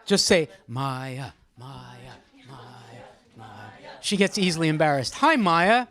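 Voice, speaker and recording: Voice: medium pitch, lively intonation, slow pace, fairly bright tone. A host leading a group discussion at a live gathering, speaking conversationally and teasingly, calling on people by name. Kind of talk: informal live moderating, with some poetry reading. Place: indoor room at a live gathering.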